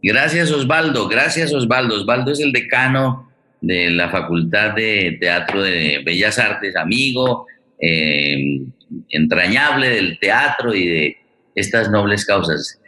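Halting man, bright voice, echoey room. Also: on the low side. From Colombia. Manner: halting, repeats words and phrases. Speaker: forum host. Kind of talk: live online forum hosting, conversational. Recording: echoey room over online stream.

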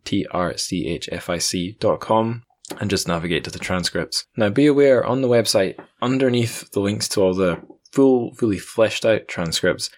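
A man hosting a podcast in a casual, chatty way with wry asides. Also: deep voice. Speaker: podcast host.

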